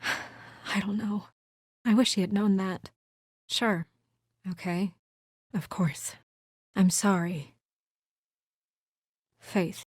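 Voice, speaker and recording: Young female investigator, noir fantasy video game, earnest, composed, businesslike, mid-range pitch, naturalistic delivery, dry studio-tight sound. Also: steady tone, extremely slow, pauses often, breathy edge, no room sound. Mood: hushed, shaken. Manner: serious, restrained, businesslike, with a dry edge. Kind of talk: video game voice acting, naturalistic dramatic dialogue.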